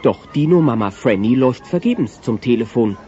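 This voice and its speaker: Lively male children's story narrator. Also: mid-range voice, a little fast, faint music behind. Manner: dramatic, mock-suspenseful. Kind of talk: animated storytelling narration for children's audio play. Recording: muffled old cassette audio play.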